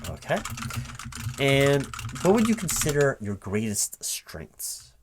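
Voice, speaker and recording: A man speaking as a recruiting manager in a one-sided ASMR interview roleplay, questioning in a halting, puzzled way. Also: mid-range voice, big pitch swings, noisy background. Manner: halting, puzzled questioning, checking back with "right?". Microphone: close microphone with typing sounds behind. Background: computer keyboard typing.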